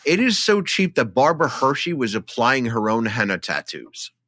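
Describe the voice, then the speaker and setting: Guy on a movie podcast, super animated and emphatic, bright voice, dry close mic. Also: medium pitch, moderate pace, dry recording. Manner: enthusiastic, emphatic, conversational. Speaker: film podcast co-host. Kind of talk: casual film discussion. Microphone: studio microphone, close.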